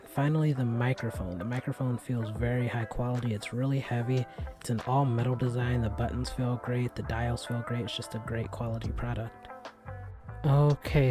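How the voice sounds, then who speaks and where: A man hosting a gadget review channel, talking casually about a microphone in a soft voice with plenty of fillers. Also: medium pitch. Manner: relaxed, chatty, many fillers. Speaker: tech reviewer, channel host. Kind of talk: casual product review vlog. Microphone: USB desk microphone.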